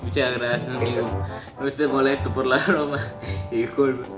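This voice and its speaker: A teenage male, speaking politely and haltingly, as if on a phone call. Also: medium pitch, little pitch movement, over music. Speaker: YouTuber making a prank call. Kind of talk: improvised prank phone call, posing as a prize agent. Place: small room at home, indoors. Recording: roomy echo.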